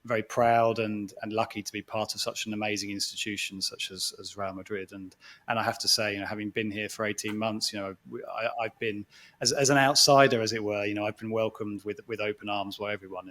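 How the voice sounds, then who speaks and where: Exec, 40s, flat and steady delivery, hesitant, conversational talk to a crowd. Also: male voice, fairly low pitch. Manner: reflective, hesitant, restarts phrases. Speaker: guest speaker, sports executive. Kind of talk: conversational talk to a live audience.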